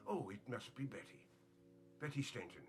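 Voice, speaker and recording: An actor playing a gossipy, prying priest in a confessional, in a film comedy-drama. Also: male voice, mid-range voice, expressive, normal speed, soft background music, lightly acted. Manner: prying, insinuating. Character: nosy, gossipy male priest hearing confession.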